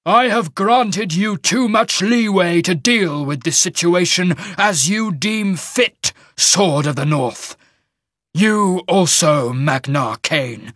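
A man voicing a wrathful, imperious shaman mage lord in a fantasy audiobook, with booming, commanding pronouncements. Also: slow, a bit bright, dry recording, clean studio sound, full character voice. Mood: thunderous fury. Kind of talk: dramatic fantasy audiobook character.

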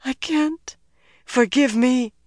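A woman's voice: mid-range voice, normal speed, no echo, studio quality, voice pushed up, close to an everyday voice. A woman narrator voicing a frightened, stubborn young woman in an audiobook, pleading in a tremulous, emotional way. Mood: anguished refusal.

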